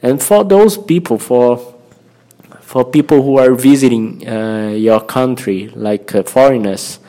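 A man with a Brazilian Portuguese accent speaks in a soft-edged, relaxed tone at a slow pace. He hosts an informal language lesson in hesitant English, often searching for words and repeating phrases as he goes. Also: medium pitch, low-quality recording. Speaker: language-learning podcast host.

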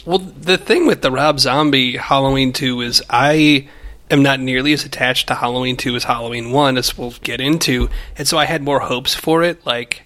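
A man co-hosting a casual movie podcast, relaxed and measured, with a deep voice. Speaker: podcast co-host.

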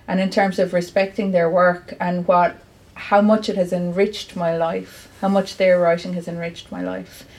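A woman's voice: fairly low pitch, flat delivery, normal speed, hardly any pauses, darkish tone. An Irish woman from Clare, a poet, answering earnestly at a live bookshop interview. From County Clare, Ireland. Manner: earnest, reflective, with many fillers. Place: bookshop event.

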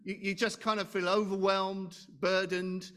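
A man preaching a sermon, loud and animated, chatting with asides and rhetorical questions. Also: high-pitched, measured pace.

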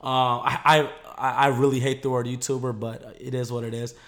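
A man's voice: mid-range voice, level tone, a little fast, a bit bright. A young man talking as a podcast guest in a laid-back, slangy way, swearing freely. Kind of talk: casual chat.

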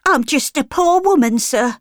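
A woman reading aloud gives voice to a harsh, scheming slum woman. She whines and threatens in a high, swooping voice, close and dry. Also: expressive, unhurried, no room sound. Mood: threatening. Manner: whining, threatening. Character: harsh scheming working-class London woman.

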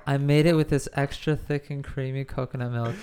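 A man's voice: mid-range voice, steady tone, fairly quick, warm tone, clean tone. A man co-hosting a podcast, chatting casually in a slangy, crude, joking way. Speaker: podcast co-host.

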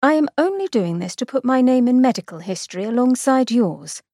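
Woman doing prim young lady, indignant, pitched high, dry close sound. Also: animated, brisk, mellow, no echo. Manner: indignant, earnest.

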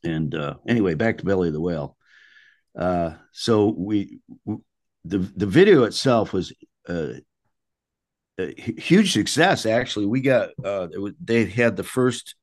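An older man speaks in a deep voice with very little room sound around it. He tells anecdotes as an interviewed musician would, casually and colloquially. His phrasing is blunt and salty. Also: dry recording, clean studio sound.

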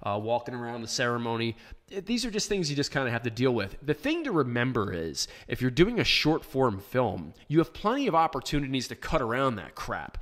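A man with a hoarse, worn voice talks straight into a studio microphone, hosting a casual live show. He answers questions in a loose, plainspoken way, with plenty of ums and restarts. His pitch sits in an ordinary middle range for a man. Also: sing-song, brisk, a bit bright. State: hoarse from shouting. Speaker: podcast host answering listener questions. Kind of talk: casual live podcast chat. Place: small home studio.

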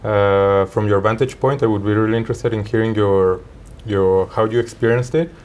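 A man's voice: fairly low pitch, fairly even intonation, normal speed, a little mellow, muffled recording. A man hosting his own interview podcast, reading a prepared introduction with small stumbles and restarts, then asking his guest questions. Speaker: podcast host.

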